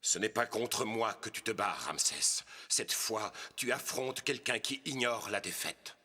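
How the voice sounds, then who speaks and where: A man voicing a resolute, solemn prophet in an animated film, speaking gravely and earnestly for his people. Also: fairly low pitch, measured pace, full character voice. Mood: grave warning.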